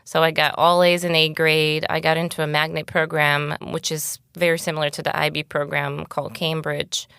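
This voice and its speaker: Low-voiced Bulgarian-born woman guest. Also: level tone, normal speed, vocal fry, few pauses, a bit bright, clear, resonant tone, dry recording, studio quality. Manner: candid, reflective storytelling. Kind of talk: casual interview talk.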